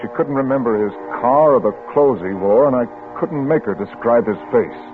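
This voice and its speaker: An actor playing a shaken, grieving father who narrates haltingly in a 1950s radio drama. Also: medium pitch, slight background noise, natural voice. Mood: cold vengeance. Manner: halting, hushed, dramatic pauses. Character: shaken, grieving father turning coldly vengeful. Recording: tinny vintage 1950s radio recording.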